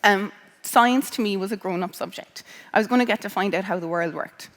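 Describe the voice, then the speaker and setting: A woman's voice: medium pitch, a little fast. Irish woman speaking in a reverberant hall. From Ireland. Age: 30s. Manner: conversational, with many um fillers and restarts. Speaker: scientist giving a talk to students. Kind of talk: personal career talk.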